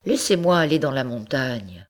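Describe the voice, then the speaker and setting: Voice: mid-range voice, sing-song, moderate pace, natural voice. A reader voicing a young female goat who pleads wistfully to be set free in a storybook tale. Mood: pleading. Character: young female goat, restless, yearning for freedom.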